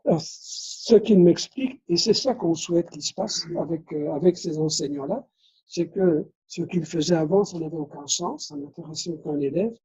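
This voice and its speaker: Man, Belgian-born, Dutch native speaker, conference lecture, husky mellow voice, dull lo-fi recording. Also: fairly high pitch, moderate pace. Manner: restarts phrases, peppers talk with tag questions.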